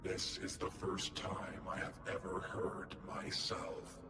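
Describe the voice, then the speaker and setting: A man's voice: sits low, completely flat delivery, slow, noisy background. A man voicing a solemn, dutiful guardian mech in a video game, with formal robotic phrasing and clipped announcements. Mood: solemn, earnest. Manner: formal robotic phrasing, announces clipped one-word headers before statements. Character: solemn giant guardian mech, dutiful and slowly learning feeling. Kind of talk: video game character acting. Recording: cavernous echo with music underneath. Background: game music.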